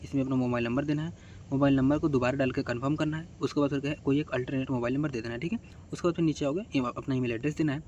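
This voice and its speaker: Fast-talking man giving a tutorial. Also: mid-range voice, a little mellow, a little hiss or hum. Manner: instructional, repetitive check-in tags. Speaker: tutorial host. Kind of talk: casual step-by-step screen tutorial.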